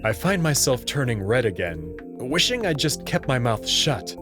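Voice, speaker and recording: A man reading a first-person story narration in an expressive way. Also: mid-range voice, normal speed, music in the background. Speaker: narrator and reader of a visual novel.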